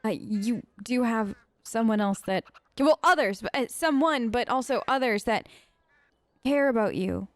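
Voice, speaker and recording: A woman let's player improvising in character over a game, conversational, gentle and reassuring, pausing as she finds her words. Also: on the high side, no room sound.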